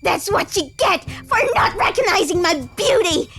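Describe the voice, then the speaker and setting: A woman's voice: very high-pitched, very animated, normal speed, music in the background, exaggerated voice. A woman voicing a vain, self-loathing female sea monster with a murderous temper in a melodramatic visual novel dub. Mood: enraged. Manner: vicious, theatrical shrieking and gloating.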